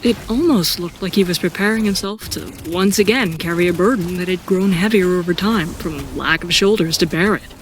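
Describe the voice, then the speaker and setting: A woman playing an introspective seasoned warrior who narrates her own story in an audio drama, reflective and deliberate. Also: on the low side, natural voice, poor audio. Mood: pensive. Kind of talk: first-person scripted audio drama narration.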